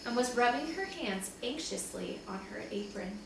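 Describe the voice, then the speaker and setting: A woman's voice: fairly high pitch, moderate pace. A woman reading a children's chapter book aloud like a teacher to her class, expressively, with small asides to listeners. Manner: expressive, acts out dialogue with asides. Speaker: second-grade teacher reading aloud to pupils. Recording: echoey, distant mic, noticeable background noise.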